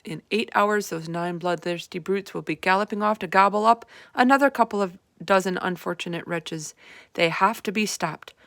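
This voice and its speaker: A woman voicing a calm, commanding older queen in a storybook read-aloud. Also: medium pitch, moderate pace, vocal fry, no echo, studio quality. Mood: composed. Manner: composed, firm, polite.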